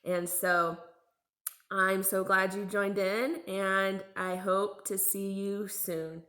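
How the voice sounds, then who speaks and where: A woman's voice: medium pitch, flat delivery, takes it slowly, bright, forceful tone. A woman teacher reading a children's storybook aloud expressively. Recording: echoey room.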